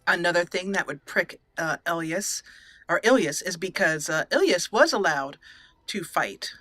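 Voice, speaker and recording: Lady doing roleplay game commentary, really bright forceful voice, dramatic pauses, bit of music behind. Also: fairly low pitch, expressive, dry recording. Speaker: let's play host, roleplayer.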